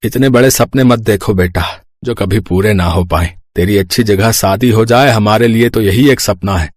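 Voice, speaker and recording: A man voicing a kindly, resigned older village father in a cartoon story, giving gentle advice. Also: fairly low pitch, moderate pace, no room sound, studio quality, lightly acted. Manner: gentle, advising.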